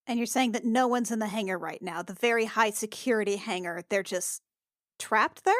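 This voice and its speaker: A woman's voice: mid-range voice, normal speed, dry recording, studio quality, natural voice. A woman voicing an entitled royal lady in a tabletop game, suspicious and pointed. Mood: haughty, sceptical.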